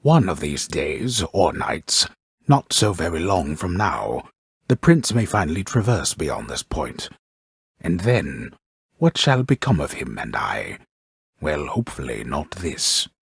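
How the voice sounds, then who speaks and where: An actor playing a wry, grandiose old storyteller in a video game, narrating Greek myth gravely and ornately with dry mockery, his voice gravelly. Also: big pitch swings, unhurried, dry recording, heavily acted. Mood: ominous, wry. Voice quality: very deep, gravelly, husky voice. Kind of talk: theatrical scripted game narration.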